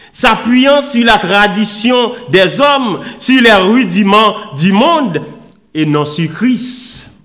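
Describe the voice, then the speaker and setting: A man preaching a sermon in an oratorical, emphatic manner, with deliberate pauses and repeated phrases. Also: high voice, a bit bright. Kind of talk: sermon with scripture readings and rhetorical questions.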